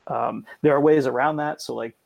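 Gravelly man explaining in a dry close recording. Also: mid-range voice, fairly even intonation, fairly quick, no echo. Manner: explains at length with frequent ums and self-corrections. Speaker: guest expert. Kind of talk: casual podcast explanation.

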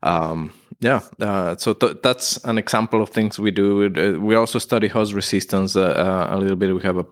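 A man from Ecuador speaks with an Ecuadorian Spanish accent in a fairly low voice. He is a middle-aged guest scientist who talks hesitantly, full of fillers and restarts. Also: normal speed, few pauses. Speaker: guest plant pathologist. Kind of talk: conversational interview.

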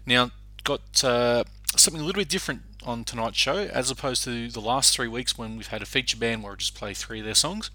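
A man's voice: medium pitch, brisk, sharp tone, no echo. A man hosting a music radio show, introducing songs in a chatty way with frequent fillers and restarts. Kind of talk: casual music show presenting.

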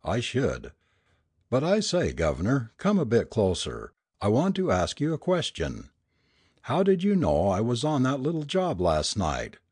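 A man voicing a coarse old-time burglar who talks in rough working-class slang, eager and pleading. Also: medium pitch, huge pitch swings, unhurried, a little mellow, no echo, studio quality, pitched above the performer's own voice, full character voice. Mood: wheedling.

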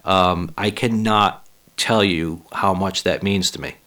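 Low-voiced guy, kinda hoarse, swearing and rambling on his own podcast. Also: steady tone, slow pace, bright, forceful tone. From Boston area. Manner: profane, rambling, self-deprecating. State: a little hoarse. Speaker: podcast host and stand-up comic. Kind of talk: casual solo podcast monologue.

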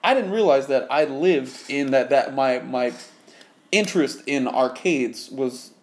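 A man's voice: mid-range voice, a little slow. Guy with a kinda childlike voice rambling on a podcast, lots of restarts, echoey room. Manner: rambling, self-interrupting, animated storytelling. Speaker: podcast co-host. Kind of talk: casual chat.

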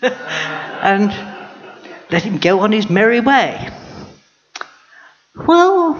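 A woman's voice: lowish voice, a little hiss or hum. A woman narrating in character as a proud, wry lioness queen in a storybook tale, heard in a dull lo-fi recording. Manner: wry, lively, drawn-out delivery.

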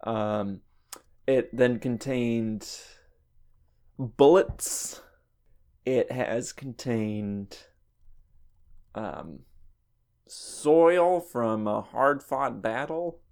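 A man on a casual comedy podcast, answering quiz questions slowly and hesitantly with many ums. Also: mid-range voice, expressive, gravelly, darkish tone, smooth. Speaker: podcast co-host and quiz contestant.

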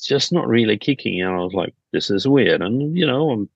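A man's voice: fairly low pitch, animated, normal speed, vocal fry, breathy edge, no room sound, highs cut off. A middle-aged man from Denmark talking as an interview guest, telling business stories informally and acting out bits of quoted dialogue. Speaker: podcast guest, business founder. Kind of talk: conversational interview storytelling.

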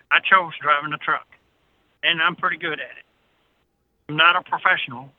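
A man's voice: fairly high pitch, no echo. A man in his sixties, a veteran truck driver, telling casual anecdotes in a plainspoken, folksy way over a narrow phone-like line. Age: 60s.

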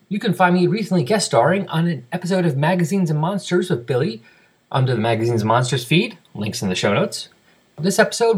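Husky, higher-voiced man hosting a podcast. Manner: rambling, self-correcting. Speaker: podcast host. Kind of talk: casual podcast talk and show announcements.

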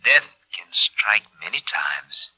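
An actor playing an escaped killer out for revenge in an old radio drama, speaking slowly with mocking, sinister menace. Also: male voice, on the low side, big pitch swings, gravelly, breathy edge, whispered, exaggerated voice. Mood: quiet menace. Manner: slow, sinister, taunting. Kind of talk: 1940s-50s radio drama villain. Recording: muffled old radio recording.

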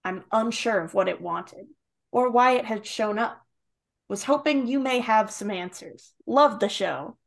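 A woman's voice: fairly high pitch, unhurried, gravelly. A woman co-hosting a podcast, chatting playfully and reading a listener's email aloud. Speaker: podcast co-host. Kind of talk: casual group chat, reading a listener email.

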